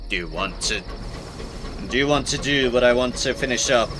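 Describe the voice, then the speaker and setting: A slightly hoarse man streaming a video game, chatting casually and playfully to himself as he plays. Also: medium pitch, expressive, normal speed, over music. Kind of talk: casual live gameplay commentary.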